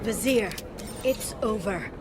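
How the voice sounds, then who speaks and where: A woman's voice: medium pitch, takes it slowly, a crowd in the background, background noise, lightly acted. A woman voicing an earnest, intense and determined female alien in a cinematic video game. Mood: grimly determined. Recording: loud music behind the voice.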